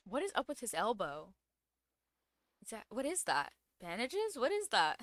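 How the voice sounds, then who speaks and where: A woman's voice: on the high side, huge pitch swings, moderate pace, fairly bright tone. A woman giving casual, playful reaction commentary as a streamer, full of questions and sarcastic asides. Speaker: streamer reacting to a game story.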